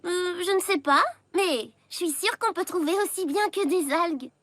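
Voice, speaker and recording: A woman's voice: very high-pitched, no echo. A woman voicing an eager, hopeful young schoolgirl in a French cartoon dub. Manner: bright, quick, hesitant when pressed.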